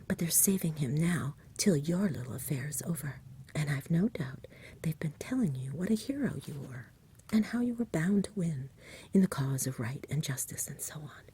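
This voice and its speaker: A woman voicing an earnest, impatient young village boy in a soft, whispery ASMR storybook reading. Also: deep voice, slight background noise. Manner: earnest, pleading.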